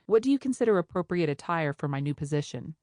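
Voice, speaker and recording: A woman reading as a prim young doctor with a Charleston accent in an audiobook. She speaks formally and carefully, in a lively voice that sits low. The sound is close and dry. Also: fast, no echo. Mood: polite.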